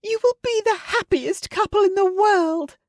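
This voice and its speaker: Shrieking excitable mother. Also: a little slow, no room sound, studio quality. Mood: gushing. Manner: shrill, gushing.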